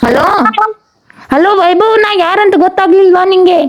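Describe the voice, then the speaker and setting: A woman makes a prank call in a very high voice, teasing and insistent. She keeps pressing the person on the other end and will not let the matter go. Her delivery is playful but stubborn. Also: normal speed, dull audio. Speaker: vlogger making a prank call.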